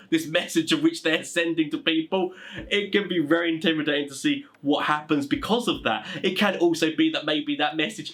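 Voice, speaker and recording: Rambling man with a slightly high voice. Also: fairly bright tone. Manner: rambling, conversational, repeats stock phrases. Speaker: tabletop gaming advice presenter. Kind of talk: informal explainer talk.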